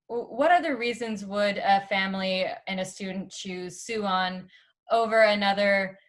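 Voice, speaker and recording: A woman interviewer poses questions at an ordinary pitch. She speaks haltingly and often restarts or corrects herself mid-question.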